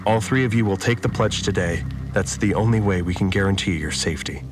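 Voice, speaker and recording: An actor playing a stern, manipulative priest who speaks with strict, controlled command in an audio drama. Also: male voice, low voice, brisk, background noise, natural voice. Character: stern, manipulative male priest making cold demands.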